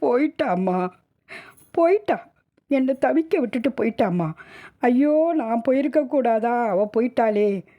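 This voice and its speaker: A woman voicing a grief-stricken old caretaker, sobbing and halting in rustic, colloquial speech in a dramatised audio story. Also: fairly high pitch, expressive, muffled recording, voice pushed up. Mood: wailing.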